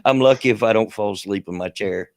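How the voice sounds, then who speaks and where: A man's voice: lowish voice, fast, gravelly, dry recording, clean studio sound. A middle-aged man with a Kentucky and Tennessee redneck accent, hosting a casual livestream and rambling with a self-deprecating laugh. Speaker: livestream host.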